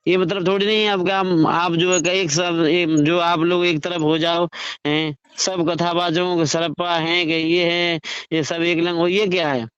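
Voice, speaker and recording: A man's voice: on the high side, flat delivery, unhurried, a bit bright, smooth, dry recording, lo-fi sound. A man explains his disapproval in an informal phone conversation, often asking rhetorical questions. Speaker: religious storyteller-performer giving his opinion. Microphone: recorded phone call.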